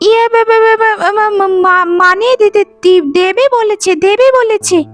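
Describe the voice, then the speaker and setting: Casting: spiteful twin-sister wife, extremely high voice, theatrical cartoon whine, loud music underneath. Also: female voice, fairly even intonation, measured pace. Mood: stammering, evasive. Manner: theatrical, whining, scolding. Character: jealous, scheming young wife, twin sister, whiny and spiteful. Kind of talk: melodramatic cartoon voice acting.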